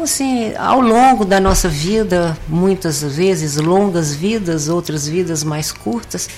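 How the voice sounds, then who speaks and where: A Brazilian woman with a hoarse voice and a cough, giving a rambling spoken religious reflection full of fillers. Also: fairly low pitch, a little hiss or hum. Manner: meandering, with many fillers and restarts. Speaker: lay speaker giving a weekly reflection.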